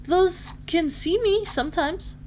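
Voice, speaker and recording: A woman doing a small young gnome heroine, very squeaky-high, earnest, fantasy audio story, faint noise behind. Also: takes it slowly. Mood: grateful, earnest.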